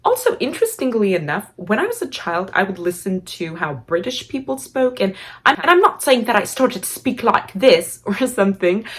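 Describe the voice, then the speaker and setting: A young woman with an Armenian accent telling a personal story in a casual vlog, choosing formal, elaborate words but often letting them tumble out impulsively, with the odd "like". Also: mid-range voice. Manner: formal, elaborate diction with filler "like". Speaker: vlogger.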